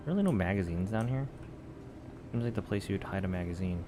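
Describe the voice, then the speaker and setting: A man's voice: low-pitched, expressive, fairly quick, noisy background. A man giving casual, joking commentary while playing a video game, often muttering to himself. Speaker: gameplay streamer.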